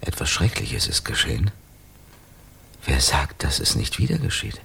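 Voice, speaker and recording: An actor in a German radio crime drama playing an anguished, formal amnesiac man who speaks haltingly, with a husky, gravelly voice. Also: very deep voice, wildly swooping pitch, pushed and bright, full character voice. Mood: brooding. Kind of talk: German radio play acting.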